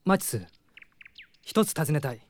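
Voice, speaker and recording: An actor playing a calm, courteous young male prince in a Japanese radio drama, measured and dignified. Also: mid-range voice, slight background noise, lightly acted. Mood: calm, probing. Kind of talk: Japanese anime-style radio drama acting.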